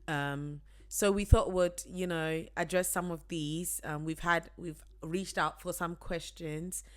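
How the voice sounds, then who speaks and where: Low-voiced woman in casual chat. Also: takes it slowly. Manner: relaxed, chatty, laughs easily. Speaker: podcast co-host.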